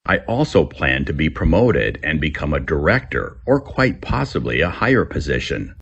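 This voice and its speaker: A man reading scripted English-learning texts aloud as a teacher, in a gravelly, husky voice. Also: rumbling low, sing-song, normal speed, a bit bright, lo-fi sound.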